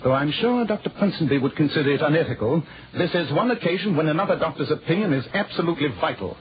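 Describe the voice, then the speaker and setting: A man playing a brisk, commanding English detective with clipped, precise, theatrical diction in a 1940s radio drama. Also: a little high, lively intonation, lightly acted. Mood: urgent, incisive. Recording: muffled, noisy 1940s radio recording.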